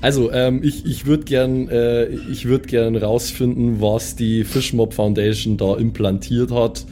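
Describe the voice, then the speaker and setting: A man's voice: medium pitch, little pitch movement, unhurried, warm tone, slight background noise. A man chatting casually as a tabletop player, hesitantly searching for words. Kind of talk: casual improvised role-play chat.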